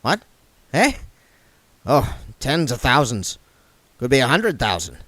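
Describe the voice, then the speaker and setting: A man's voice: medium pitch, wildly swooping pitch, takes it slowly, fairly bright tone, no room sound, voice pushed up, heavily acted. A man doing a blunt, practical dwarf. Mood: appraising. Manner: blunt, down-to-earth. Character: gruff, practical male dwarf, money-minded.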